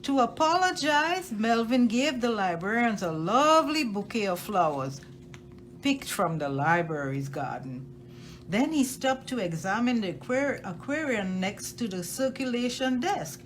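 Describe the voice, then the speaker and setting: A woman with a fairly high voice reads a children's story aloud in an expressive way. Her pitch swings widely and she takes her time over each phrase, leaning on key words. There is some noise in the recording. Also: unhurried. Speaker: storytime reader at a library. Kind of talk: expressive read-aloud of a children's picture book.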